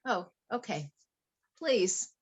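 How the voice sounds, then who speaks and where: A woman's voice: medium pitch, big pitch swings, slow, slightly rough, heavily acted. A woman playing a wealthy, anxious wife in a play reading, earnest, polite and flustered. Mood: polite, hospitable. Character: wealthy, anxious wife confiding fears about her husband.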